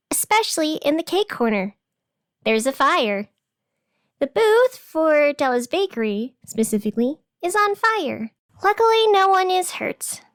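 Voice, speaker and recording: A woman playing a whimsical community radio host who delivers absurd scripted town news in a playful, deadpan way. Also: high voice, dry recording, clean studio sound. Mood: wry, dramatic. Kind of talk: scripted fiction podcast, community radio announcements.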